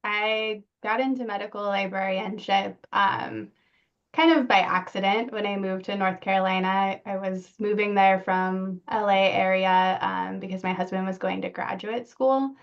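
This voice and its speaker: Casting: woman librarian as panel guest, creaky voice, informal delivery, slightly muffled recording. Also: medium pitch, steady tone, a little slow. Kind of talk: informal career account on a panel.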